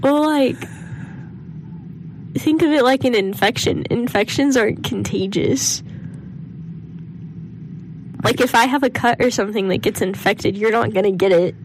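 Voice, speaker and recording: A woman's voice: on the high side, a little slow, pauses often. A young woman with a congested, scratchy throat co-hosting a podcast, chatty and full of "like", on a studio mic. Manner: chatty, says like a lot. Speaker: podcast co-host. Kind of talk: casual chat. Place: small studio.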